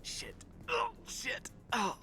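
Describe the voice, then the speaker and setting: An actor playing a wounded, cynical mercenary grunt in a video game, gasping in pain and defensive. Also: male voice, extremely slow, sharp tone, faint music behind, exaggerated voice. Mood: agonized. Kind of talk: video game cutscene acting.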